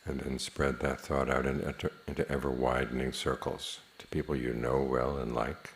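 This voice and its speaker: A man teaching a guided meditation in a measured, plain-spoken manner, leaving long pauses between phrases. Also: extremely low pitch, flat delivery.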